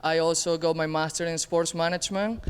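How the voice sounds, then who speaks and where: Crisp-voiced young man from Venezuela. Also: fairly high pitch, fairly even intonation, brisk. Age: 20s. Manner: earnest, slightly nonstandard grammar. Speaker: assistant tennis coach. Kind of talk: casual panel introduction. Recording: reverberant hall.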